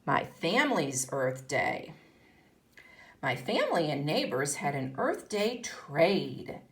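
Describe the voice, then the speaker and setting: Slow-paced, very animated woman teacher. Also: low-pitched. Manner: instructional, explaining and posing questions to children. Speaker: kindergarten teacher. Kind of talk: read-aloud lesson for young children, reading a picture book with asides.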